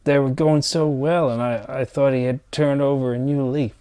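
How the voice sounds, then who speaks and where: A man's voice: mid-range voice, fairly even intonation, moderate pace, warm tone, clean tone, natural voice. A man playing a blunt, resentful, set-in-his-ways engineer in an exasperated dramatized dialogue. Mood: bitter, exasperated.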